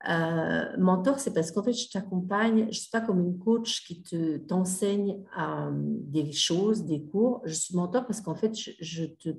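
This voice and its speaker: A woman's voice: fairly low pitch, unhurried, gravelly, hardly any pauses, darkish tone, reverberant. A middle-aged woman mentor giving a casual spoken talk, hesitant, with many fillers and restarts. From Australia. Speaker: grief mentor and healer.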